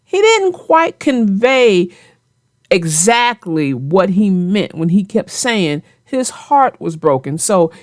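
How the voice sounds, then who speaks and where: A woman's voice: mid-range voice, very animated, slow, dry recording, studio quality. A woman hosting a children's story show, chatting in a folksy, playful, teasing way. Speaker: host and storybook narrator. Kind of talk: children's read-aloud and casual chat about the story.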